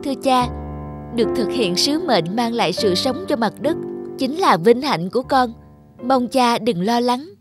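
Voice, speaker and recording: Sweet earnest young fairy girl for a cartoon folk tale, highish voice, music behind. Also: lively intonation, a little slow. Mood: gentle, bright. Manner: gentle, polite, earnest. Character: gentle young fairy maiden, devoted and pleading in love. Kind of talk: animated fairy tale acting. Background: background music.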